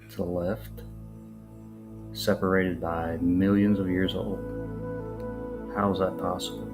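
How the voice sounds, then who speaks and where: A man giving casual, unscripted commentary in a musing, halting way, often posing rhetorical questions. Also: low-pitched, flat delivery. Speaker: video narrator. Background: new-age music.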